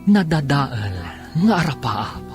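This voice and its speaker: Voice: mid-range voice, very animated, slow pace. An announcer with an Ilocano accent, delivering dramatic scripted narration for a radio drama. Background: music.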